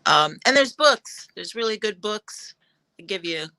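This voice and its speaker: A woman's voice: medium pitch, expressive, a little slow, sharp tone, smooth, no room sound, low-quality recording. Woman chatting offhandedly, close dry sound. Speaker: playwright. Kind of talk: casual chat on a panel. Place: online video call.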